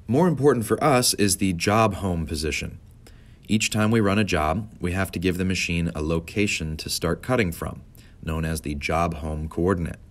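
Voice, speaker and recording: An American man with a deep voice narrates a scripted instructional tutorial. He speaks as an instructor, laying things out clearly and in orderly steps. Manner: clear step-by-step instructional delivery.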